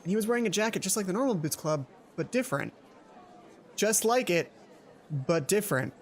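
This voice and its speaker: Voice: mid-range voice, animated, brisk, a little hiss or hum. A let's play host reading a visual novel aloud with casual commentary, stumbling over words and interrupting the narration.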